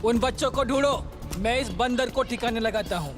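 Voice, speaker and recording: A high-pitched cartoon henchman, threatening and bossy as he barks orders. Music plays clearly behind him. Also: moderate pace. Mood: menacing. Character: menacing male villain henchman hunting intruders. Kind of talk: dubbed cartoon acting.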